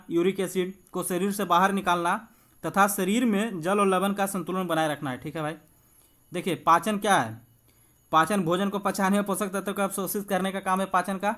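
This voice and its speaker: A man's voice: high voice, fairly bright tone. A man coaching exam students, explaining quiz answers in an instructive way and addressing viewers familiarly. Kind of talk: quiz walkthrough lesson with explanations.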